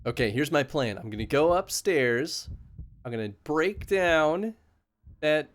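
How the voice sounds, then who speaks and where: A man streaming a horror game live, chatting casually and yelling excitedly at the action. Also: on the high side, measured pace. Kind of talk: live gameplay commentary.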